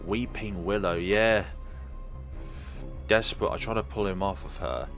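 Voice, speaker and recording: Lowish-voiced man doing wry game commentary. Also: steady tone, a little slow, a bit bright. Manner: wry, talking back to the characters. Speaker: let's play commentator. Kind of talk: casual game commentary and reading narration aloud. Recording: faint game music behind.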